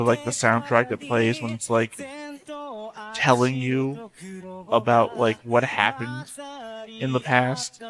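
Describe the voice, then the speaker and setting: A man hosting a reaction video, talking casually and rambling as he thinks aloud. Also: medium pitch. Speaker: host of an anime reaction channel.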